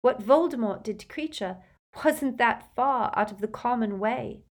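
A woman's voice: a little high, measured pace, pitched above the performer's own voice. A woman voicing a compassionate, emotional teenage girl in an audiobook, earnest and pleading. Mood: earnest explaining.

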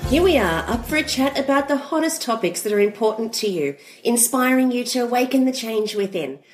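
A woman's voice: a little high, expressive, a little fast, reverberant, music in the background. Nasal, playful woman with a flu. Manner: playful, laughs often. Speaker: podcast co-host. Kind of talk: casual chat.

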